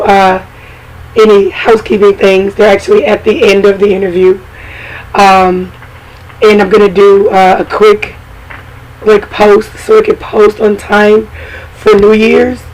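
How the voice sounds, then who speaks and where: A hoarse woman with a cold hosting a podcast in casual, chatty talk full of fillers and asides. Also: mid-range voice, level tone, unhurried, pauses often, darkish tone, low-quality recording. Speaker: podcast host.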